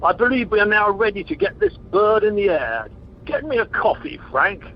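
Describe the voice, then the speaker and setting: A man acts out a pompous, bumbling airline captain from an amateur radio comedy. His fairly high voice swings freely in pitch as he breezes through his patter. It comes over a dull, slightly noisy conference line. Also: big pitch swings, moderate pace. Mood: self-important. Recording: muffled conference-call line with noise.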